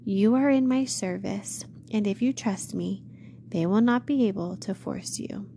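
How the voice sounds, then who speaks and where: A woman reading a gentle, kindly shepherd. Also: mid-range voice, vocal fry, a little hiss or hum. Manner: slow, gentle reassurance. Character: gentle, kind male shepherd, calm and reassuring.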